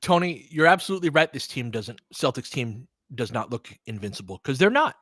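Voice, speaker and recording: A man's voice: mid-range voice, sing-song, fairly quick, a bit bright, dry recording, clean studio sound. A man hosting a casual live sports commentary, talking in an emphatic, conversational way. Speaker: sports show host.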